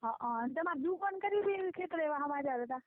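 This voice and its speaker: A woman's voice: high voice, lively intonation, fast talker. A young woman with a rural Gujarati accent gossips casually in a private call, chattily asking questions and retelling conversations. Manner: chatty, questioning, recounts conversations in quoted back-and-forth. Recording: muffled, band-limited phone call recording.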